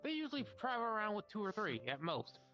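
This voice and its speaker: A man's voice: sits high, sing-song, fast. A man leading an improvised roleplay mission briefing as game master, informal and sweary, putting questions to the group. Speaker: game master playing a guild quest giver. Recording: loud background music. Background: music.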